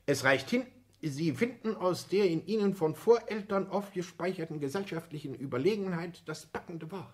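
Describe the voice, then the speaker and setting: An actor in a 1960s German radio play, playing a condescending aristocratic count with ornate, formal speech. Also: male voice, high-pitched, expressive, normal speed. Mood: pompous, instructive. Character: courtly aristocratic count and father, condescending, old-school.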